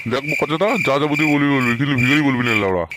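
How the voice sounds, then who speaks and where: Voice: mid-range voice, racing, heavy background noise, lightly acted. Broke, foul-mouthed young Bengali cartoon man played by a performer: slangy grumbling at breakneck pace. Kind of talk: comic cartoon dubbing.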